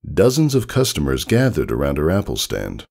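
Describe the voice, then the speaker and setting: A man reading fiction aloud as an expressive scripted story narration. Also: a bass voice, moderate pace, vocal fry. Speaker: fan fiction reader.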